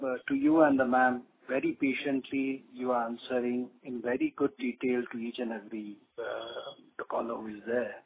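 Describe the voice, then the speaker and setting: A man's voice: mid-range voice, little pitch movement, normal speed, few pauses, husky. Man on a tinny phone line. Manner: wordy, full of praise, many restarts and fillers. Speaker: investor asking questions on an earnings call. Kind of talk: earnings call question. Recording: phone-line call audio with faint noise.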